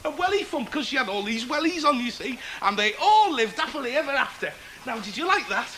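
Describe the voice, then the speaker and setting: Very high and lively, this is a cheery working-class Liverpool chancer, full of wisecracks and colloquial patter. He talks like a born yarn-spinner in a TV drama. The location sound is clearly noisy behind him. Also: a little fast, heavily acted. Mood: playful storytelling.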